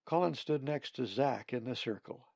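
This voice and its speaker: A man narrating an audiobook of his own story in a measured storyteller's manner. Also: mid-range voice, lively intonation, gravelly, darkish tone, no echo. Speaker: author reading own book.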